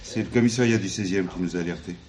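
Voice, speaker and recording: A man's voice: low voice, quick pace, a crowd in the background, natural voice. A man playing a deferential, slightly scatterbrained police subordinate with a familiar, hesitant manner in a French play. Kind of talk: French radio play acting.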